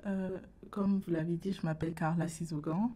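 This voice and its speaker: A young woman, a guest on a studio radio interview, soft-spoken and sometimes searching for words. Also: fairly low pitch, steady tone, normal speed. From Burkina Faso. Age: late teens.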